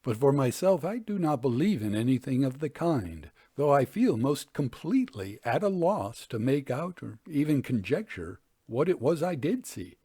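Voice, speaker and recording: A man voicing an unnerved but skeptical nineteenth-century gentleman traveler, telling his account formally and deliberately in a storybook reading. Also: mid-range voice, sing-song, breathy edge, no room sound. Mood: puzzled, uneasy.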